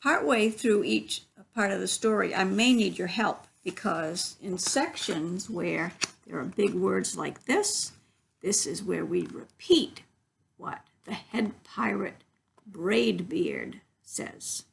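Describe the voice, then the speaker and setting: Slow-talking woman reading a picture book. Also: medium pitch, expressive, vocal fry, slight background noise. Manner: instructive, with asides to the listeners. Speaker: library story reader. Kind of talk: read-aloud picture book narration for children.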